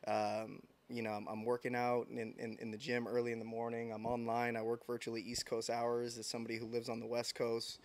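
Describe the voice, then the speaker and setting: A man in his thirties from San Diego, a podcast guest talking in a reflective, unhurried way with frequent restarts. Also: medium pitch, completely flat delivery. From San Diego, United States. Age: 30s. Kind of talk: casual interview chat.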